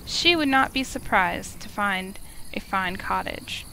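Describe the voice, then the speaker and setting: Casting: woman narrator, mid pitch, reading visual novel prose as a game streamer, slightly noisy recording. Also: expressive, a little slow, creaky. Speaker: streamer, reader.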